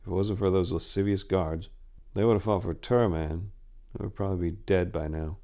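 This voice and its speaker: Man playing a warrior prince, low voice, measured, reflective, courteous, fantasy storybook. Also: steady tone, warm tone. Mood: musing.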